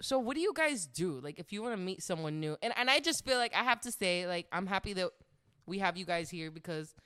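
Woman, podcast co-host, lively swooping intonation, bright voice, casual group chat. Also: fairly low pitch, brisk, clean tone.

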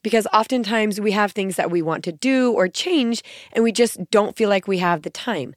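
A woman in her thirties hosting a podcast, talking in an earnest, encouraging way with a scratchy, gruff voice and a stuffy nose from a cold. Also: mid-range voice, no room sound, studio quality. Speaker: podcast host. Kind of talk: motivational podcast talk.

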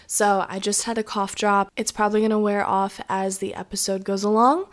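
A woman talks casually into her podcast mic, rambling from one thought to the next. Her voice is raspy from a sore throat, and she leans on "like" and "um" as she goes. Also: mid-range voice, fairly even intonation, brisk. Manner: chatty, rambling, many "like"s and "um"s. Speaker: podcast host. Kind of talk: casual solo podcast chat. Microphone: podcast microphone.

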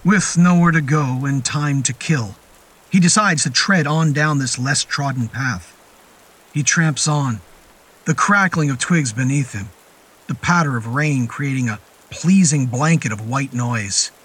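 A man narrating a scripted horror story in a deliberate, literary and descriptive manner. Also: mid-range voice, fairly bright tone, breathy edge, rough recording. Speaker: narrator of a horror fiction podcast. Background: music and rain sound effects.

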